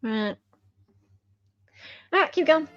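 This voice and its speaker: A woman's voice: sits high, expressive, slow pace, no room sound, soft background music. A woman co-host chatting casually, breaking in with short, incredulous questions and reactions. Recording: slightly dull, lo-fi audio.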